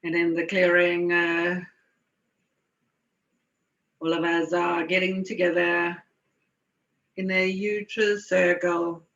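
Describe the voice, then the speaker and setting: A woman slowly guiding a meditation in a soothing, unhurried way, with lingering pauses. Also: on the low side, little pitch movement, fairly bright tone. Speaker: guided meditation leader. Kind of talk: slow guided meditation. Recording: dull, echoey room recording.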